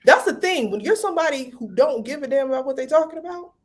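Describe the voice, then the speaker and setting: A woman's voice: sits high, quick pace. A woman co-hosting a podcast, her voice swinging up and down as she teases. She talks bluntly and playfully, pressing her guests with cheeky questions. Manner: teasing, blunt, playful, lively. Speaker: podcast co-host. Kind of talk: casual chat.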